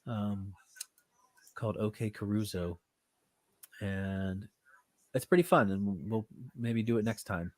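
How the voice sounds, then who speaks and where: A man with a cold, leading a casual online music class in a loose, halting way, heard over a computer mic. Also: on the low side, dry recording. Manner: relaxed, loose and halting with fillers. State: stuffed up with a cold. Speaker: class teacher.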